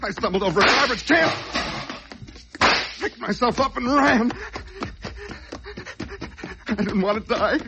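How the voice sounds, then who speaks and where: An actor playing a desperate, whining small-time crook on the run in an old radio crime drama, stammering, pleading and intense. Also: male voice, extremely high pitch, a little slow, vocal fry, pauses often, exaggerated voice. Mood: frantic. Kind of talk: old radio crime drama, dialogue and first-person narration. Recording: noisy vintage radio drama recording.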